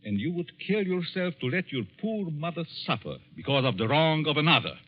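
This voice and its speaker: An actor playing a smooth, probing, menacing psychology professor in a 1940s radio thriller, deliberate and theatrical. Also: male voice, on the high side, very animated. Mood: insistent, persuasive. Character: smooth, menacing middle-aged psychology professor, coolly persuasive. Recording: dull vintage 1940s radio recording.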